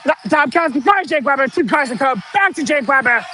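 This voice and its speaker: A man giving excited live basketball play-by-play, shouting the calls in a strained voice that is giving out. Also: very high voice, fairly quick. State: strained, losing his voice. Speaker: amateur play-by-play announcer. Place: indoor school gym. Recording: noisy gym with ball bounces.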